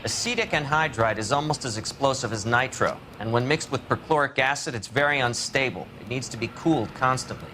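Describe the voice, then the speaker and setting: A man's voice: medium pitch, fairly quick, few pauses, pushed and bright, slight background noise, natural voice. A man with an American accent playing an earnest, wry police forensics expert in a video game, explaining things eagerly. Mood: explanatory. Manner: explanatory, technical.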